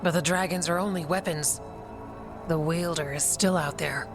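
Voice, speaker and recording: A woman's voice: low-pitched, fairly even intonation, moderate pace, over music. A woman voicing a loyal, plain-spoken warden fighter in a fantasy video game. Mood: steady, proud.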